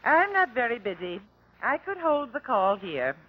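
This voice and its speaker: A woman's voice: fairly high pitch, big pitch swings, normal speed. A courteous, lilting switchboard operator. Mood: helpful, polite. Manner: courteous, businesslike. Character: polite, helpful female hotel switchboard operator. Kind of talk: old radio drama acting. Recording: muffled vintage radio drama.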